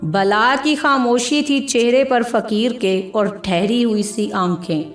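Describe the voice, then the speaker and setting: A woman narrating a scripted story in a measured, expressive storytelling manner. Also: a little high. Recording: echoey room with background music. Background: music.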